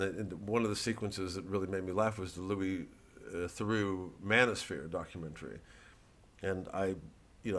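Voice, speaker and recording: Male comic host, lowish voice, loose off-the-cuff monologue, sweary, digressive, stop-and-start delivery. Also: a bit bright. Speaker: comedian, host. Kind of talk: rambling casual monologue.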